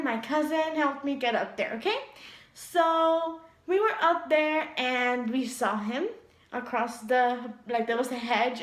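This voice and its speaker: A young woman telling a storytime tale in a vlog, animated and giggly, acting out voices as she goes. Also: sits high. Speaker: vlogger. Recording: echoey room.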